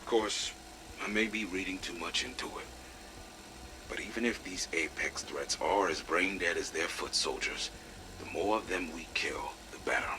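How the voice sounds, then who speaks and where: A man playing a grizzled, blunt veteran security chief in a game audio log, with a gravelly, breathy voice. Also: deep voice, moderate pace, noisy background, lightly acted. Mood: grim, measured. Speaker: military security commander. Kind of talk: acted audio log briefing.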